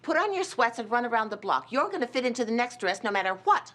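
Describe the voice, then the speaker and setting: A woman's voice: on the high side, big pitch swings, fast, fairly bright tone. An actress playing an imperious, exacting society mother with a clipped, commanding manner. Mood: exasperated. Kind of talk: TV drama dialogue.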